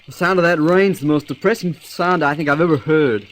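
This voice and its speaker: A man's voice: fairly high pitch, fairly quick, lightly acted. An actor playing an impatient, hot-headed spaceman in an old-fashioned radio drama, with a brusque, slangy way of talking. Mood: weary, gloomy.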